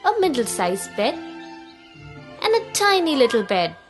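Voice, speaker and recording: A woman narrating a scripted children's fairy tale as a storyteller, in a deliberate, expressive way. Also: high voice, faint music behind.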